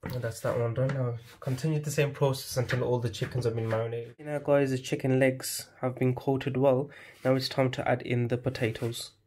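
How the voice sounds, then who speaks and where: A man hosting a home cooking channel, talking viewers through a recipe step by step in a steady, instructive way from his kitchen. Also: mid-range voice, brisk, hardly any pauses, slight background noise. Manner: steady, instructive, often addresses viewers as guys.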